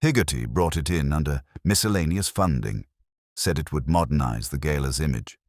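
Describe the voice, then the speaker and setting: A man playing a blunt female town councillor, brisk and scornful, in a mystery story. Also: rumbling low, lively intonation, no echo, clean studio sound. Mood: matter-of-fact, sceptical.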